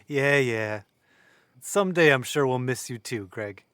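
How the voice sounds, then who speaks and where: Guy as teasing blunt young dude in audio drama, slow talker, swingy pitch, very dry sound. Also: lively intonation, a bit bright, smooth, no room sound. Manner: casual, teasing. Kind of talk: naturalistic audio drama dialogue.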